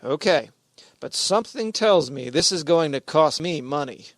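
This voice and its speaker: Flustered, mild husband for radio comedy, male actor: animated pitch, hesitant delivery, clearly noisy recording. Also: normal speed, lightly acted. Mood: baffled. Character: befuddled, mild-mannered 1940s husband and office worker. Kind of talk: old-time radio comedy acting.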